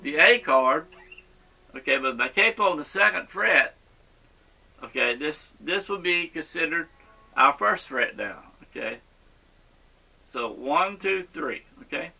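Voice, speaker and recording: A man teaches guitar in a sharp, very bright voice that sits slightly high. He goes step by step and reassures the learner, checking in often. Guitar music sounds along with his talk. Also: animated, unhurried, gravelly, long pauses, husky. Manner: encouraging, reassuring. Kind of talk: instructional guitar lesson, step by step.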